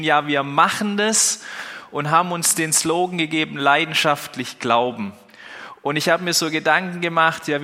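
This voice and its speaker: Bright, forceful young preacher, man. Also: fairly high pitch. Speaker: pastor. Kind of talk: sermon. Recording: long hall reverb.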